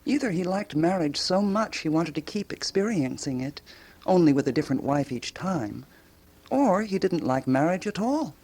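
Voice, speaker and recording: Radio drama intellectual: self-assured woman, husky low voice with swooping intonation, musing and inquisitive. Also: big pitch swings, a little mellow. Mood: amused. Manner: musing, probing, deliberately questioning.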